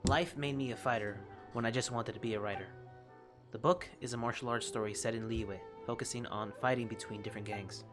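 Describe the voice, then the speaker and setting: A game streamer doing casual, expressive commentary and reading on-screen text aloud in an informal way. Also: medium pitch, a little fast. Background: game music.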